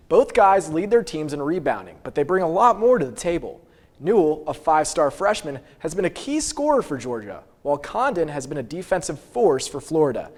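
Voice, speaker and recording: A man's voice: fairly high pitch, darkish tone. A young man giving scripted sports analysis on a student news show, with a confident, opinionated delivery. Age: young adult.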